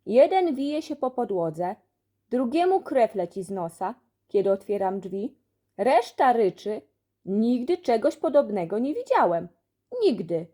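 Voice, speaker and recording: A woman's voice: on the high side, very animated, measured pace, dark tone. A woman playing a strict, easily flustered male school supervisor in a children's story, scolding indignantly.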